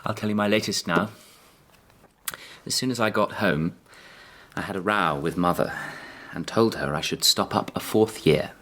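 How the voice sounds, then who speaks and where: An actor playing an eager, affectionate young upper-class student in a radio drama, chummy and brisk. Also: male voice, low-pitched, fairly bright tone. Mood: confiding. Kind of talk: period radio drama acting.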